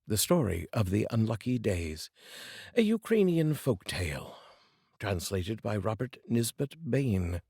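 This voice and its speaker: Low, husky male storyteller. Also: big pitch swings, measured pace, a little mellow, no echo, studio quality. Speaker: storyteller, narrator. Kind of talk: expressive read-aloud folk tale narration.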